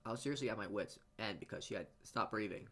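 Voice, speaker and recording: A man reading a visual novel aloud as dramatic narration, halting often as he stumbles over and repeats words. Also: on the low side, bright, forceful tone. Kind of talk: dramatic read-aloud narration for a let's play.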